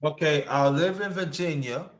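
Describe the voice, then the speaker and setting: A man's voice: fairly high pitch, normal speed. Outgoing man hosting a live chat. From New York. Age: 50s. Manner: outgoing, gives loud shout-outs. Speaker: livestream host. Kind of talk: casual live-stream chat.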